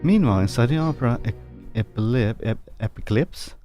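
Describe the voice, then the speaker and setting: A man's voice: mid-range voice, animated, a little fast, warm tone. A man streaming a video game, giving casual commentary and reading bits aloud. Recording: game music behind the voice. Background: game music.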